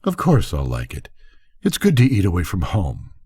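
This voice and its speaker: A man's voice: low-pitched, wildly swooping pitch, normal speed, warm tone, husky, exaggerated voice. An older man voicing a proud, slightly smug farm wife in a storybook, with an emphatic, lilting delivery. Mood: agreeable. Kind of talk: bedtime storybook character voice.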